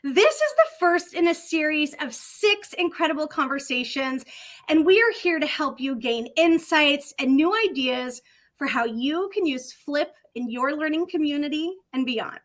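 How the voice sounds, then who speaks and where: A woman's voice: high voice, a bit bright. A middle-aged woman hosting an upbeat online session from a home office, talking in an effusive, enthusiastic way over a video call. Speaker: host.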